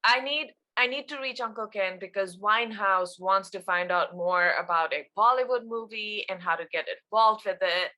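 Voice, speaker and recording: Woman playing bright-voiced, exasperated grown daughter. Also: mid-range voice, moderate pace, hardly any pauses, natural voice. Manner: exasperated, pleading. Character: exasperated, pleading adult daughter, lowly assistant. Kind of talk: comedy screenplay table read. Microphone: video call.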